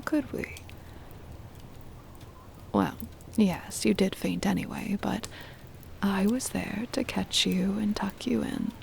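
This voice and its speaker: A possessive vampire noblewoman, played by a woman, whispers slowly and intimately in an ASMR roleplay. Her voice is soft and mellow, with very heavy creak. She sounds formal and doting, soothing her beloved pet up close over steady background ambience. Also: medium pitch, slight background noise. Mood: fond, amused. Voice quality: breathy whisper with creaky fry.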